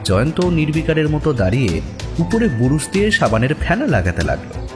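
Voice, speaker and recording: A man narrating a mystery audio story in an expressive, scripted storytelling style. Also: a little high, fairly quick. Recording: music behind the voice.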